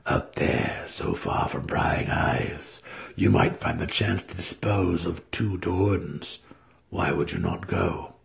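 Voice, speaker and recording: A man voicing a scheming, insinuating dark elf wizard in a fantasy audiobook, hushed and breathy, musing and conspiratorial. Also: low voice, big pitch swings, takes it slowly, pitched below the performer's own voice, heavily acted. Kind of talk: dramatic fantasy audiobook character reading.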